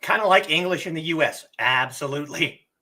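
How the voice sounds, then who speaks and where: A man's voice: fairly high pitch, brisk. A man hosting a casual live stream, explaining language tones and chatting with viewers while getting over a cough. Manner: relaxed, chatty, answers chat questions. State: lingering cough from illness.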